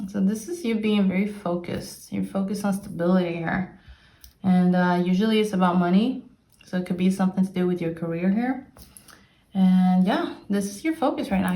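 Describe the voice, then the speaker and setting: Casual female tarot reader from Brazil, mid-pitched, chatty, recorded in an echoey room. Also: warm tone. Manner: chatty, upbeat, many "like" fillers. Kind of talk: casual tarot reading to camera. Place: sunny room with plants. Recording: echoey room, faint noise.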